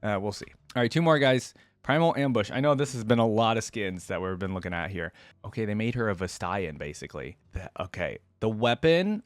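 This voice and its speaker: A man's voice: lowish voice, no room sound. A man giving casual reaction commentary as a video host, thinking aloud in informal, slangy language.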